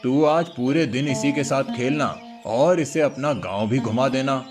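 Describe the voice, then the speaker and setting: A man's voice: medium pitch, a little slow, natural voice. A man voicing a patient, fatherly old cowherd grandfather in a cartoon story. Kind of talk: Hindi cartoon moral story dialogue. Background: music.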